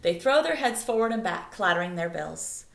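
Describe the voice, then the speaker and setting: A woman's voice: on the low side, animated, moderate pace, gravelly, fairly bright tone. Echoey woman reading a picture book aloud. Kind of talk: expressive read-aloud narration with brief asides to children. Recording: echoey room.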